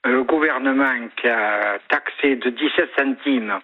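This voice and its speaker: A man's voice: mid-range voice, measured pace. A male caller from Marseille with a Marseille accent, chatting with some hesitation. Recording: phone line.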